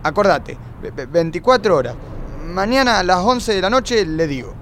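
Man playing a stammering, high-voiced jealous boyfriend. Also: very animated, moderate pace, fairly bright tone, a little hiss or hum. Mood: threatening. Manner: stuttering, insistent. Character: nervous, stammering jealous boyfriend, threatening client. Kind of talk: comedy radio play acting.

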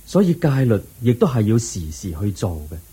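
A serene, patient sage, voiced by a man explains his teachings in a low, husky voice. The dark, mellow tone still carries a lively pitch for a radio play. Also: fairly quick. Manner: calm, patient, instructive. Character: calm, wise male Buddha teaching. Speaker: narrator and lead actor. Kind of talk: radio drama acting.

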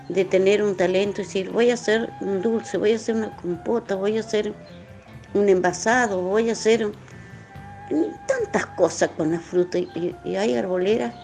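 A woman's voice: mid-range voice, darkish tone. A woman talking informally and reflectively, in an earnest, rambling way. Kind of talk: informal reflective interview talk.

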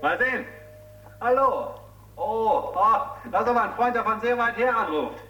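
An actor playing a glib, condescending game show host in a radio drama, jovial and mocking. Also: male voice, high-pitched, animated, normal speed, pushed and bright, low-quality recording.

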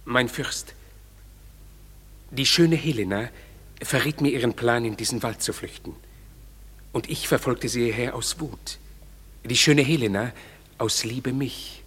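A man's voice: mid-range voice, measured pace, long pauses, a bit bright, breathy edge. An actor playing a smitten young nobleman who speaks in an earnest, wondering way in a classic radio play.

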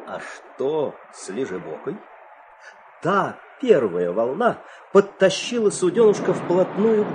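A man's voice: on the high side, background noise. A man narrating a fairy tale for an audio play in a theatrical, suspenseful storytelling manner.

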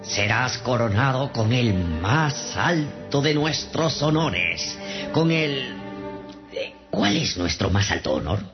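Slow, pompous storybook emperor. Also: male voice, medium pitch, lively intonation. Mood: grand, forgetful. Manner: pompous, ceremonious, hesitant when he loses his thread. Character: pompous, forgetful tiny emperor of a storybook kingdom. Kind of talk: Spanish-language radio drama acting. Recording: dull sound with faint music behind.

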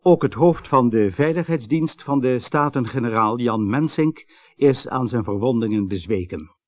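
An actor playing a sober radio newsreader, delivering a formal scripted bulletin in a radio drama. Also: male voice, medium pitch, moderate pace, a little mellow, dry recording, close to an everyday voice. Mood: grave, formal.

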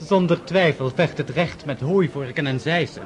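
A man's voice: fairly high pitch, normal speed, lightly acted. A male actor playing a young aristocratic son in a radio drama, mocking, sly and sarcastically witty in formal speech.

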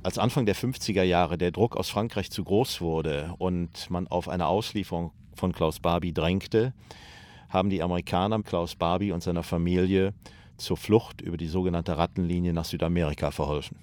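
A man's voice: low voice, normal speed, a little mellow. A man giving factual, explanatory commentary in spoken interview style. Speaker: documentary commentator.

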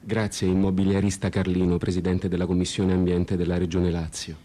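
A man with a very deep, mellow voice narrates a radio theatre piece. He speaks as a storyteller from a script, with a solemn tone that carries dry mock nostalgia. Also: monotone, a little fast. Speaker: narrator and performer.

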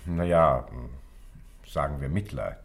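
A man's voice: extremely low pitch, animated, slow, vocal fry, full character voice. An actor playing a candid family doctor in a German radio drama, hesitant and reflective as he talks.

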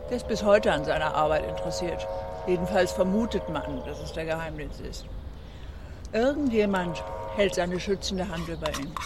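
Actor as high-pitched frank male scientist. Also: normal speed, background noise, natural voice. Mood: frank, disapproving. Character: frank, gossiping male senior scientist colleague. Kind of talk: radio drama dialogue.

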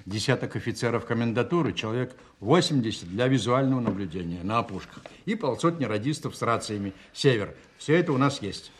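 An actor in a radio play voicing a stubborn, sardonic counterintelligence general with an emphatic, authoritative delivery. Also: male voice, mid-range voice, animated, a little fast, few pauses, a little hiss or hum, lightly acted. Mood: brisk, confident. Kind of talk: Soviet-style radio play acting.

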